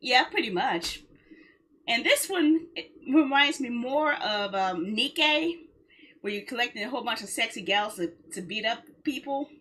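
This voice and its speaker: A woman's voice: fairly high pitch, lively intonation, unhurried, bright, forceful tone, breathy edge. A woman game streamer chatting casually on a livestream in a playful, rambling way.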